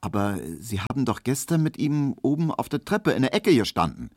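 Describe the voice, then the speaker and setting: A man voicing a timid young barber, meek and hesitant, who stumbles over his words. His voice is quiet and close, recorded dry on an old radio reading. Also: mid-range voice, big pitch swings, fairly quick, dry recording, pitched above the performer's own voice.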